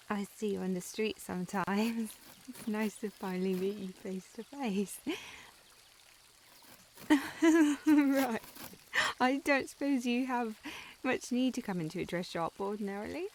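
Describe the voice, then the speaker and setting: Woman doing a shy nervous shop girl, high pitched n stammery, period roleplay, bit of background noise. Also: creaky. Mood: shy, eager. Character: timid, flustered young shop assistant girl, eager to please. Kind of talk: scripted historical audio roleplay, ASMR.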